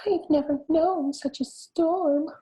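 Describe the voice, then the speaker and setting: A woman voicing a tiny, anxious mother field mouse with a squeaky voice in a storybook. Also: steady tone, takes it slowly, mellow, poor audio. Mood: worried.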